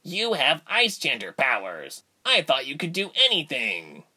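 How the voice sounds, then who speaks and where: Casting: young boy, naive and insistent, very bright and swooping voice a man acts it, storybook. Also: a little high, wildly swooping pitch, unhurried, husky, pitched above the performer's own voice, exaggerated voice.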